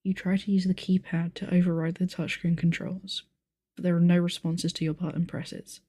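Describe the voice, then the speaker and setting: Woman acting mellow, formal monster game master. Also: fairly low pitch, level tone, breathy edge, no room sound. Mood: theatrical narration. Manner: measured, articulate, formal. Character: calm, formal, bookish young female monster game master. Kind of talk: acted audio roleplay, narrating a tabletop game.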